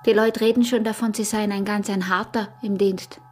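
An actress with an Austrian accent playing a smuggler's wary, teasing peasant wife in a radio drama, her voice breathy and husky. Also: medium pitch, steady tone, moderate pace, a little mellow, a little hiss or hum, lightly acted. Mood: teasing, flirtatious. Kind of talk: intimate radio drama acting in dialect.

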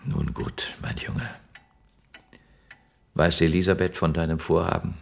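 An actor playing a gentle, worried old father in a radio drama, with a husky, gravelly voice. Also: low-pitched, steady tone, measured pace, full character voice. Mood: resigned, tender. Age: older. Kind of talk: German radio drama acting.

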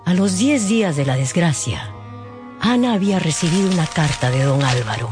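A man's voice: a little high, expressive, moderate pace, over music. A man narrating a scripted radio drama as a storyteller.

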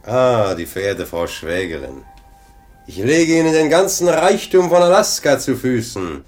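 An actor playing a grandiose, tempting adventurer who appears as if in a dream, declaiming theatrically in a 1950s German radio drama. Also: male voice, medium pitch, very animated, a little slow, a little mellow, faint music behind. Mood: mocking, challenging.

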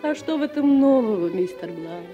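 An actress plays a lovelorn woman with a teasing, strong-willed streak in a 1960s radio drama. Her voice is notably high. Soft music sits behind her. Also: lively intonation, normal speed, highs cut off, lightly acted. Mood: tender, wistful. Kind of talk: Soviet radio drama acting.

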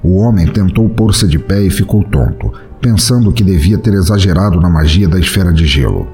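A man's voice: low voice, normal speed, mellow, soft background music. A man with a Brazilian accent narrating a scripted fantasy story as the storyteller of an audio drama.

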